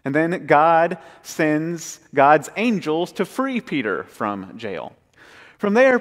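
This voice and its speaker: A man in his thirties, a pastor, preaching a sermon from the pulpit and retelling scripture in a measured storytelling way. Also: on the high side. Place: church sanctuary, large, indoors. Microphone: pulpit mic, far camera. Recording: long church reverb.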